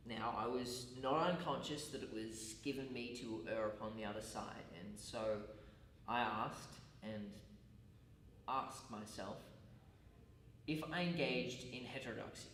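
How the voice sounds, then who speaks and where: A man acting out an earnest, self-justifying male college teacher in a stage monologue. He speaks in formal, halting, deliberate phrases at a middle pitch. The sound is distant and very echoey. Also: a bit bright, lightly acted. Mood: earnest, confiding. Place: large echoey room at a college. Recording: echoey hall, distant mic, faint noise.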